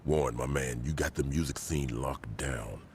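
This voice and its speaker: A man playing a calm, commanding gang boss in a video game, gravelly and authoritative. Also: a bass voice, slight background noise. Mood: firm, calm. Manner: slow, authoritative, stern. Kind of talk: video game cutscene acting.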